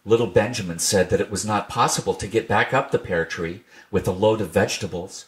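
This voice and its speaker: A man reading a classic children's storybook aloud in a gentle, measured storytelling manner. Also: lowish voice. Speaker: reader.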